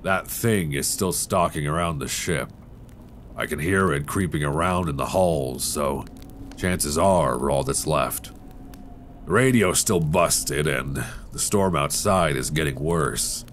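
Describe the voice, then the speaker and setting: Horror audio roleplay: bottom-register male actor as weary polar survivor, unhurried, intimate, close binaural mic. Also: slight background noise. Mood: grim, resigned. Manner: sighing, low-key, addressing one listener as friend. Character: weary, grim male survivor on icebound expedition ship. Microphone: close binaural microphone. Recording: close binaural with steady storm-like ambience.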